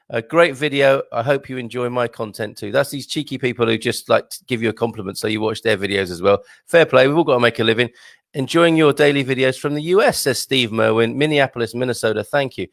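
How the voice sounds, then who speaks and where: A man's voice: medium pitch, creaky, fairly bright tone, dry recording, studio quality. A man hosting a casual news show, reading out viewer comments with chatty, wry asides. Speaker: host.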